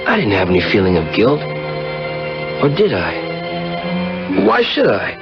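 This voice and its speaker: A man's voice: on the low side, huge pitch swings, slow, creaky, heavily acted. An actor playing a cocky, slangy car dealer who narrates his own radio drama story in a wry, hip tough-guy manner. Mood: brooding. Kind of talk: 1970s radio drama acting and first-person narration. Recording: dull old radio broadcast, music behind.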